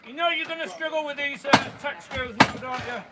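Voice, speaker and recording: A man with a Yorkshire accent chatting casually as he works as a builder, pausing hesitantly when he explains things. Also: extremely high pitch. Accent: Yorkshire English. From Leeds, England. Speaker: builder on a live stream. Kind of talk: casual working chat. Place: garden room construction site. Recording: very noisy live building site.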